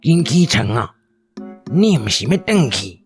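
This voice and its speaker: A woman voicing a small, timid, doubtful dwarf man from a storybook, muttering in a hushed, hesitant way. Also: rumbling low, very animated, a little slow, soft background music, exaggerated voice. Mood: coaxing.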